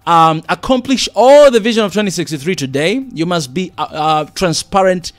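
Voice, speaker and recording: An earnest man from Tanzania talks with real conviction and keeps repeating his words for emphasis. His voice sits high for a man. He jumps in with quick agreement when others speak. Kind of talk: passionate conversation.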